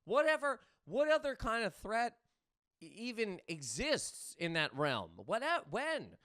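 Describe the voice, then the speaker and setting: A man's voice: high-pitched, huge pitch swings, unhurried, fairly bright tone, dry recording, studio quality. A man doing an exasperated, incredulous comedic rant to camera, emphatic and often stammering over repeated words. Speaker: comedian and host.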